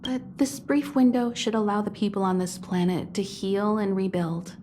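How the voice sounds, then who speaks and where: An actress playing a composed, kindly mentor of space travellers in a video game, explaining things in a poised, reassuring way. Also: female voice, mid-range voice, steady tone, normal speed, dark tone, a little hiss or hum, lightly acted. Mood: calm, explaining. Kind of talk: video game voice acting, measured dialogue. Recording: background music under studio voice.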